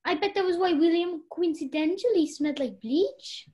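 A woman's voice: very high-pitched, moderate pace, a little mellow. A young woman playing a spiteful, accusing party guest in a radio play. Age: young adult.

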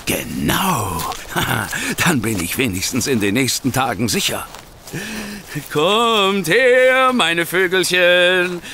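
A panicky, guilty hotel manager in an audio drama. His voice is rather high and very animated, yet slow and halting, as he pleads. There is clear background sound behind him. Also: noisy background, heavily acted. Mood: scheming, then coaxing. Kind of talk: German audio drama acting.

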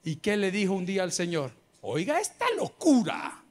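Salvadoran male pastor, middle-aged, high voice, animated sermon delivery on handheld mic. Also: moderate pace. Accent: Salvadoran Spanish. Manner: lively preaching, bursts of mimicry and humor. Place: large church auditorium with a crowd. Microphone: handheld microphone.

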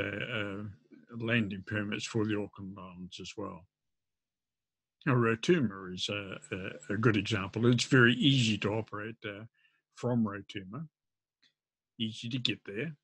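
An older man, explaining informally to camera in a halting, hesitant way. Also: fairly low pitch, slightly rough, dry recording. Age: older, around 70s. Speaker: amateur radio vlogger. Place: indoors at a desk.

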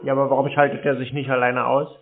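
A man's voice: mid-range voice, level tone, fast talker, a little hiss or hum. A man on a phone call, needling the other side with dry, mocking, obtuse questions. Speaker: prank scam-baiting call recipient. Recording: tinny narrow-band phone line.

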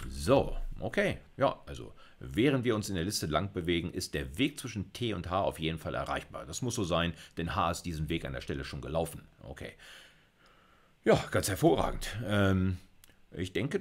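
A man's voice: low voice, noisy background. A middle-aged man giving an informal computer science lecture, thinking aloud as he codes live. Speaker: university lecturer. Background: computer keyboard typing.

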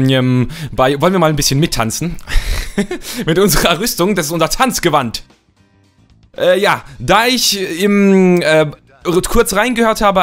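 A young man with a slightly rough, cold-worn voice, chatting playfully and rambling as he narrates his gameplay. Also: on the high side, a bit bright. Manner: playful, rambling, repeats words for fun. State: hoarse from a cold. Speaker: let's play host. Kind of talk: casual gameplay commentary.

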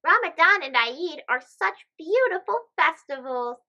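Woman acting excited young child, storybook character, very high pitch, bright tone, gushing exclamations. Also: a little slow, lo-fi sound, heavily acted. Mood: delighted. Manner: exclaiming, wide-eyed, gushing.

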